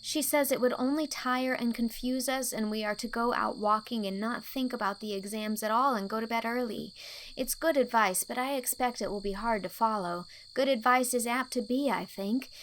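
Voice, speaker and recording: A woman's voice: on the high side, normal speed, fairly bright tone, slight background noise, natural voice, rough recording. A woman playing a dramatic, anxious, chatty teenage schoolgirl in a storybook reading, speaking earnestly and wordily.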